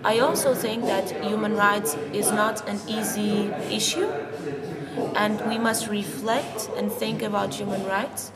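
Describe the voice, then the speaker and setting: Distant Portuguese woman over loud crowd. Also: medium pitch, steady tone, unhurried. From Lisbon, Portugal. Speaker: interviewee, youth project participant. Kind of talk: unscripted interview answers. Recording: distant mic, reverberant, loud crowd behind.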